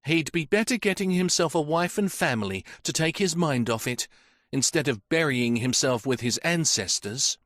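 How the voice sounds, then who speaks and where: A man's voice: fairly high pitch, animated, moderate pace, fairly bright tone, dry recording, studio quality, pitched above the performer's own voice. A man voicing a gossipy old housekeeper woman. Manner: gossipy, talkative. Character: elderly chatty woman housekeeper.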